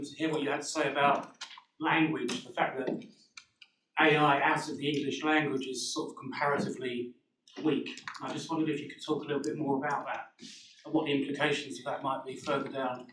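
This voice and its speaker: A man in the audience asking a measured question at a conference. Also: fairly high pitch, fairly even intonation, fairly bright tone. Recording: echoey hall, distant mic, faint noise.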